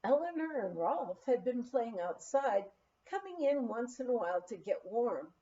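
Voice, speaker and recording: Higher-pitched, mellow woman reading a memoir aloud. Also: sing-song, normal speed, highs cut off. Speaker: audiobook reader. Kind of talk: scripted memoir narration, read aloud.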